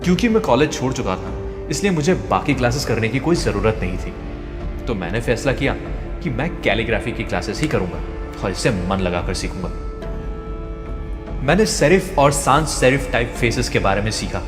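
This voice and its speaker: A man reading a scripted motivational story in a measured, storytelling way. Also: medium pitch, lively intonation. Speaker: narrator. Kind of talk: scripted motivational narration of a translated speech. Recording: echoey, long reverb, music behind. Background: background music.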